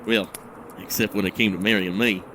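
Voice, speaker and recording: An actor playing a troubled, guilt-ridden husband in an audio drama, recounting a memory in a halting, hesitant, brooding monologue. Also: male voice, fairly low pitch, steady tone, background noise, lightly acted. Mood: brooding, regretful.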